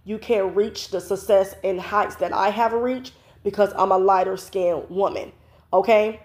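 A woman's voice: medium pitch, measured pace, a little mellow. A woman in her thirties, congested from a cold, hosting her own commentary vlog from home in a chatty, opinionated way full of "you know" fillers, recorded close on a phone camera. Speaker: vlogger host. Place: home, indoors.

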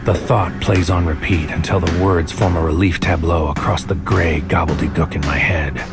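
Low-voiced brooding haunted psychic warrior. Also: male voice, fairly even intonation, moderate pace. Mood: bleak, brooding. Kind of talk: poetic first-person inner monologue in audio drama. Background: music.

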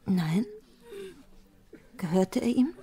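A composed rabbi's widow with a slight foreign accent, explaining herself calmly and politely. Her voice is low and warm, with a soft, dark tone. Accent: slight foreign accent in German. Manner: calm, measured explanations. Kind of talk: audio drama acting.

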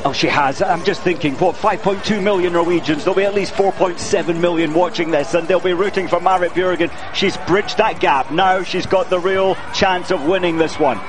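A man's voice: high voice, brisk, hardly any pauses. A man giving live co-commentary on a race, enthusiastic and chatty. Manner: enthusiastic, chatty, laughs often. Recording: broadcast mix with background noise.

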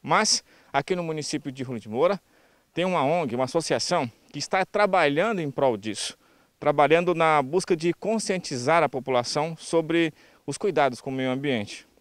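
A man's voice: a little high, normal speed, no echo. A middle-aged Brazilian man reporting the news from the field, speaking through a face mask into a handheld microphone. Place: outdoors in a grassy clearing.

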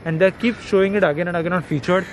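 A man's voice: sits high, very fast, a crowd in the background. A man in his twenties from India with an Indian English accent, ranting bluntly and swearing in casual chat with friends outdoors. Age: 20s. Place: outdoors on a city seafront. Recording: street noise in the background.

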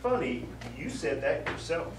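A man's voice: fairly high pitch, very animated, a little slow. Sarcastic, exasperated husband played by a man. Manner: dry, exasperated. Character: sarcastic, grumbling, impatient husband. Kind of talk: live stage play acting. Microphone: far camera. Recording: echoey stage, distant mic, noisy.

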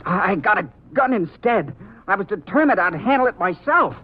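A dull old radio recording carries a nervous, desperate husband. His very high, husky voice is strained and halting. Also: expressive. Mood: shaken. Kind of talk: 1950s radio drama acting.

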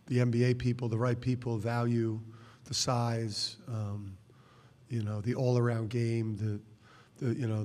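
A man's voice: mid-range voice, a little mellow. A middle-aged man, a basketball coach, answering press conference questions bluntly with many restarts and repeated words, speaking into a table microphone. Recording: reverberant press room.

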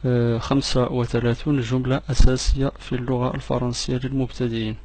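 A man teaching a lesson, repeating each phrase slowly several times and adding quick explanations in between. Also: medium pitch, little pitch movement, a little mellow, a little hiss or hum. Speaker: language teacher. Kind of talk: French lesson with slow repeated drills. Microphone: voice-over for slides.

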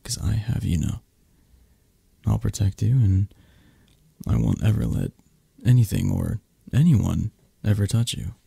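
A man playing a possessive, flirty mafia boss who dotes on his lover, murmuring softly and intimately in an ASMR romantic roleplay, with a breathy, husky, hesitant and reassuring delivery. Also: lowish voice, very animated, measured pace, dry recording, heavily acted. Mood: protective, possessive.

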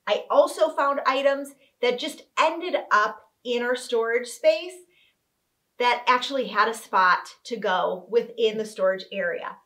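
This is a woman in her thirties, a home organizing vlogger, sitting on a couch indoors and talking to the camera. Her voice sits a little high, and she explains each step clearly in an upbeat, teaching manner. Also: measured pace, fairly bright tone. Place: living room with couch, indoors.

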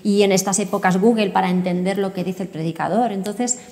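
A woman in her thirties hosts a conversational interview with a mid-pitched voice. She lays out points in an articulate, explanatory way. The room leaves a long reverb around her words. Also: fairly even intonation, brisk, a little mellow. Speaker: podcast host, interviewer. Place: indoor book-lined room.

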